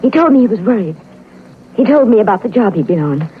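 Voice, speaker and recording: Actress as an anxious wife, animated vintage radio voice. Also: female voice, mid-range voice, quick pace, lightly acted. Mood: anxious, confiding. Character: anxious, grieving young wife of a secret agent. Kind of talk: 1940s radio acting. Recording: dull, hissy vintage radio recording.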